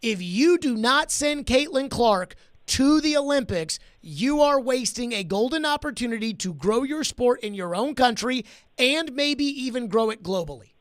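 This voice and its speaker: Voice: mid-range voice, lively intonation, moderate pace, no room sound, clean studio sound. A sports talk host arguing opinions emphatically and repeating phrases to drive the point home.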